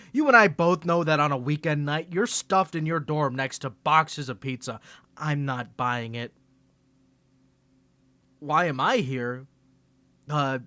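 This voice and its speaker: A smug, teasing college rival, played by a young man in an ASMR roleplay. His voice is bright and lively, his delivery slow and sarcastic. The sound is dry and close to the mic. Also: a little high, no echo.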